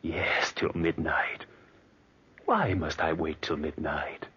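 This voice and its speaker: An actor in a 1940s radio drama playing a tense, obsessed man who plots a midnight killing in hushed, deliberate muttering. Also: very deep voice, expressive, husky, heavily acted. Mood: hushed scheming. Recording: dull, hissy old 1940s radio recording.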